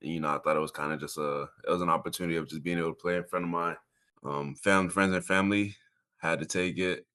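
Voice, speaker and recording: A man's voice: low-pitched, fairly even intonation, fast, pushed and bright. A man in his twenties from Miami, talking casually and earnestly as a college athlete being interviewed, often repeating himself for emphasis. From Miami, Florida. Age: 20s. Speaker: college football player, interview guest.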